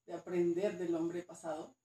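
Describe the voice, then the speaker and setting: A woman's voice: lowish voice, level tone, moderate pace, warm tone, roomy sound, recorded from a distance. A woman with a Mexican accent, a guest archaeologist chatting casually, hesitant and repeating words now and then. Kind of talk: casual interview chat.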